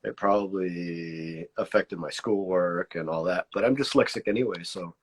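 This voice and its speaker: Low-voiced hesitant man, slight border accent. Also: normal speed, husky. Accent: pocho border Spanglish-tinged American English. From Mexico. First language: Spanish. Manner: hesitant and searching, many restarts and fillers. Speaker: artist, interview guest. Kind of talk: casual interview talk.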